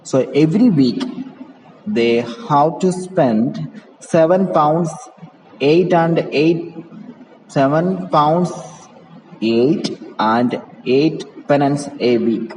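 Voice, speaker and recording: A man's voice: a little high, expressive, very slow, a little mellow. A man with an Indian English accent, teaching by reading a play aloud and explaining it point by point, often repeating and rephrasing. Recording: echoey reverberant room with background noise.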